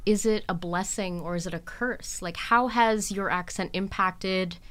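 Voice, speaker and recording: A woman's voice: medium pitch, steady tone, measured pace, fairly bright tone. A woman hosting a podcast, interviewing in a casual, chatty style. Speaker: podcast host.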